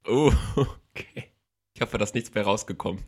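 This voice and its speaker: Teasing young man with a deep voice. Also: moderate pace, slightly rough. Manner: teasing, playful, jokey. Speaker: podcast co-host and editor. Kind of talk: casual chat.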